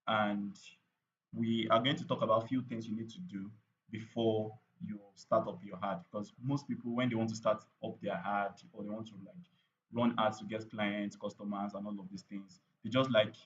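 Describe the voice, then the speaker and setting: A man's voice: fairly low pitch, dull audio, slight background noise. A man running a live online training on paid ads, chatty and repetitive, with lots of fillers and "right?" tag questions.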